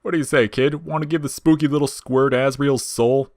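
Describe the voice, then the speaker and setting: Skeleton monster, performed by a male reader: mock-casual wisecracker, lifted pitch, close dry sound, folksy menace. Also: steady tone, fairly quick, a little mellow, no room sound, close to an everyday voice. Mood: breezy, teasing.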